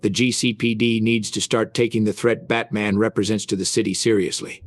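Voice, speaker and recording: An actor playing a resolute, indignant crime fighter in a motion comic dub, speaking in a serious, insistent way. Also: male voice, fairly low pitch, level tone, a little fast, no room sound, lightly acted. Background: dramatic music.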